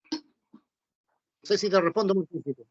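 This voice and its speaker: A man's voice: high voice, a little slow, a little hiss or hum. A man teaching a Bible class, talking conversationally and often asking rhetorical questions. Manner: conversational, repeats himself and asks rhetorical questions. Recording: dull, dry lo-fi recording.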